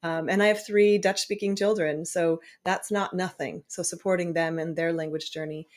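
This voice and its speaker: A woman hosting a podcast, talking thoughtfully with pauses and fillers. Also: fairly low pitch, steady tone. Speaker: podcast host. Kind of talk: conversational interview.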